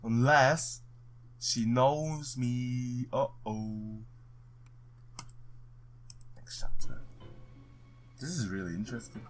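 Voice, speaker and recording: A man's voice: medium pitch, takes it slowly, pauses often, soft background music. A man doing a let's play, reading visual novel text aloud, stumbling now and then and blurting his own reactions.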